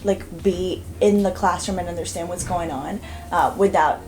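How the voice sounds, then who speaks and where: A woman's voice: lowish voice, steady tone, normal speed, a little mellow, faint music behind, rough recording. A young woman in her twenties from Romania, a native Romanian speaker, answering interview questions in a chatty, hesitant way. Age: 20s. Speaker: student guest.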